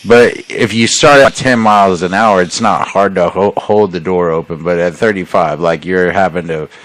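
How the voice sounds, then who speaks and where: A man's voice: low-pitched, moderate pace, a bit bright, dry recording, low-quality recording. A young man in casual podcast chat, explaining things in a laid-back way and calling his friend bro and dude. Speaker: podcast host.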